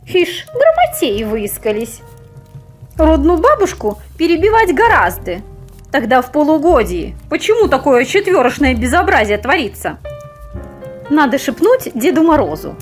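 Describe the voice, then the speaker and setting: A woman's voice: sits high, very animated, normal speed, soft background music, pitched above the performer's own voice. A woman voicing an old-fashioned, scolding grandmother in a storybook, with a folksy, reproachful and emphatic manner. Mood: indignant, teasing.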